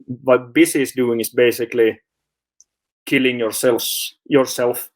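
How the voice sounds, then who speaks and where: A man's voice: mid-range voice, steady tone, a little slow. A man from Finland, a sleep coach, answering interview questions in a hesitant way, with many fillers, restarts and laughs.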